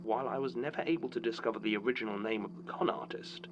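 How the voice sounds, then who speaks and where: A man playing a grave storyteller reading eerie testimony in an audio drama, measured, ominous and dramatic. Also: fairly low pitch, close to an everyday voice. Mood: grave, dry. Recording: noisy, humming tape-style recording.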